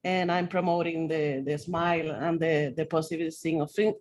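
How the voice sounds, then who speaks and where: A woman's voice: sits low, level tone, normal speed, darkish tone, a little hiss or hum. A Puerto Rican woman who speaks Spanish as her first language, a design teacher, rambling with frequent "like" fillers on a video call. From Puerto Rico.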